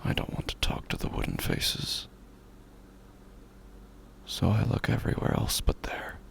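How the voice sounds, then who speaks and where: An actor playing a grieving, isolated, unhinged man in a first-person horror audio drama, breathy and gravelly, often whispering, with a halting, repetitive delivery. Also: lightly acted. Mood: hushed, numb.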